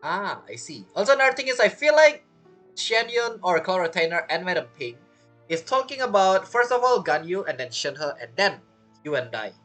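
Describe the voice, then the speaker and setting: A woman's voice: lowish voice, big pitch swings, normal speed, a bit bright, soft background music. A woman giving casual let's-play commentary, musing with offhand reactions and guesses. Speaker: gamer commenting on a playthrough.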